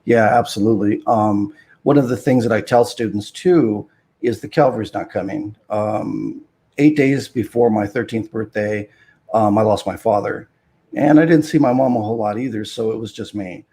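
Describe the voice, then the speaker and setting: Husky, dark-voiced man giving advice. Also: on the low side. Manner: measured, preaching advice with fillers. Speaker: panelist, school speaker.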